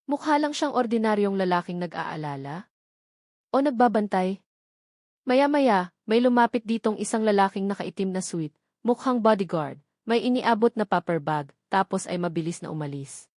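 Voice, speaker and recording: A woman reads a romantic drama as an audiobook narrator. Her voice sits at a medium pitch, with dramatic scripted delivery. The recording is dry, with little room sound. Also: lively intonation, normal speed, a little mellow, dry recording.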